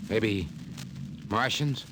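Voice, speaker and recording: An actor playing an obedient, wisecracking spaceship crewman with a plain, brisk manner in an old-time radio drama. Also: on the low side. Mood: uneasy. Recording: very noisy vintage radio recording.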